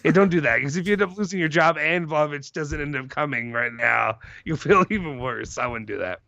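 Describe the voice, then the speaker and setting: A man in his thirties with a hoarse, gravelly voice, casually hosting a football fan live show and answering viewer comments. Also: a little high, a little fast, pushed and bright, no echo, highs cut off. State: voice more hoarse than normal.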